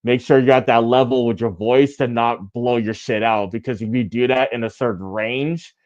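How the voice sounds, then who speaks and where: Deep raspy-voiced man, laid-back. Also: steady tone, normal speed, darkish tone, lo-fi sound. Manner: laid-back, laughs often, fillers like "you know what I mean". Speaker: guest rapper. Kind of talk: casual interview chat.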